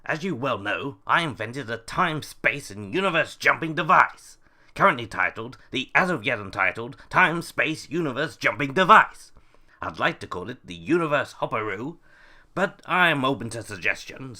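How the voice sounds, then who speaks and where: Mad inventor, acted by a man: self-important, absent-minded, rambling, very bright voice with big swings in pitch. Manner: theatrical, rambling, pompous. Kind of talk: scripted audio comedy monologue.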